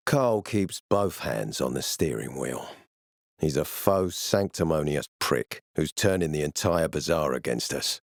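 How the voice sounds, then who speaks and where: A stern army commander from a post-apocalyptic video game. His voice is low and measured, with formal authority, captured close and dry. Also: dry recording, heavily acted. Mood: stern, threatening. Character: stern ambitious male military commander in a zombie apocalypse. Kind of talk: video game dialogue acting.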